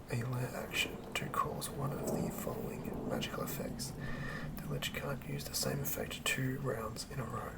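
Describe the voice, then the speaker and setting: Male ASMR narrator, whispered and creaky, hushed measured reading of fantasy lore. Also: medium pitch, rough recording. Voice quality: whispered, breathy and gravelly. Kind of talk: ASMR whispered read-through of a fantasy monster manual.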